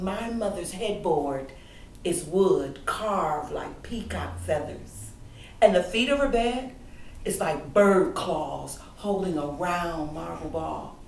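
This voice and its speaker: Woman acting a wounded grieving daughter, dramatic monologue, lots of heavy pauses, echoey room, mic kinda far off. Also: lowish voice, creaky, a little mellow. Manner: emotional, deliberate storytelling with dramatic pauses. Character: grieving grown daughter recalling her mother's death, wounded and yearning. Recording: echoey room, distant mic, faint noise.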